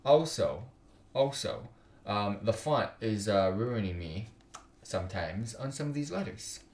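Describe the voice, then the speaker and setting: Male game streamer, young adult, lively low voice, casual read-aloud with joking asides, roomy home recording. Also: unhurried. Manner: stumbles while reading aloud, slangy joking asides. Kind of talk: casual livestream, reading visual novel text aloud with side comments. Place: indoors at a computer.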